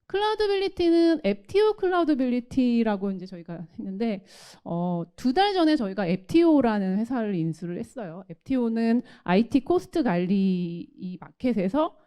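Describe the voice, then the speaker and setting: Female solutions presenter, mellow tone with animated intonation, formal and explanatory. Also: on the high side, moderate pace, few pauses, clear, resonant tone. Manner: explanatory, polite formal delivery. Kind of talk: technical product presentation.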